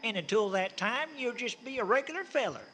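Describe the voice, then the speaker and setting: A man's voice: very high-pitched, expressive, fairly quick, bright, forceful tone, slight background noise, full character voice. A folksy, stammering small-town guy. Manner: folksy rural dialect, stammering. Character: folksy small-town man, easygoing and talkative. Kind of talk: old-time radio drama.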